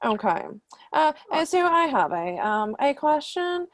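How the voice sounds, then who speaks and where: A woman's voice: sits high, sing-song. A woman playing a posh British interviewer, genteel, fussy and hesitant, in an improvised comedy sketch. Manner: hesitant, polite, drawn-out affected gentility.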